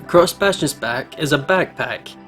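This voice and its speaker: A man's voice: fairly high pitch, normal speed. A man reading a visual novel aloud as a let's play host, reacting expressively, with music behind him. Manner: expressive, emotionally invested reactions.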